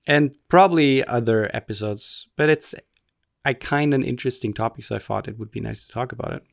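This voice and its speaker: A man's voice: mid-range voice, a little fast, gravelly, no room sound, clean studio sound. Rambling, joking male podcast co-host. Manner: rambling, self-mocking, joking. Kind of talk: casual chat.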